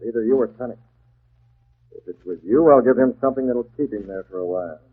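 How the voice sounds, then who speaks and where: Guy playing a masked crimefighter acting like a crime boss, husky, bossy, muffled tinny 40s radio. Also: mid-range voice, lightly acted. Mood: threatening, commanding. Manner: commanding, clipped, deliberate. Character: masked male vigilante posing as a menacing crime boss.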